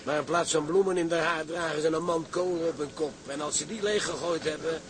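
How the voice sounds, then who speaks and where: An actor plays a grumbling, sardonic sailor for a sea radio drama. His voice is on the high side and bluntly mocking, set against clear background noise. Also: steady tone, brisk, natural voice. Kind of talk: Dutch maritime radio drama acting. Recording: noisy ship ambience behind voice.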